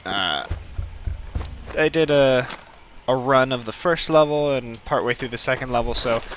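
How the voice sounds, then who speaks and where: A man's voice: medium pitch, a little slow, fairly bright tone, a little hiss or hum. A man hosting a let's play with casual, hesitant, rambling gameplay commentary.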